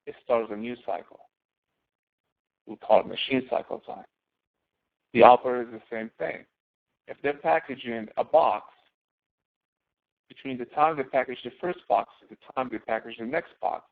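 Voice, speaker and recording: A man's voice: mid-range voice, creaky. A man instructor giving an informal, explanatory lecture, emphatically repeating key phrases for stress. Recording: thin phone-like narrowband audio.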